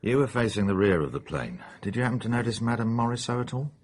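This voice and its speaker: An actor playing a blunt, wry, sceptical British police inspector in a radio drama, with informal, good-natured speech. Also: male voice, mid-range voice, fast, a little mellow, natural voice. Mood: questioning.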